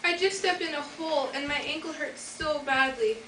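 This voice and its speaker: A woman's voice: high-pitched, moderate pace, close to an everyday voice. A teenage girl playing a wicked witch disguised as a pleading, wheedling old lady in a school fairytale play. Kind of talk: amateur school readers' theater. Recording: echoey noisy hall from far off.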